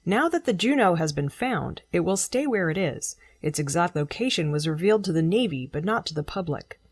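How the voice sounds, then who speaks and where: A woman reading scripted news as a presenter, in a measured, formal delivery. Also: fairly low pitch, animated, slightly rough, no echo. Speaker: news reader.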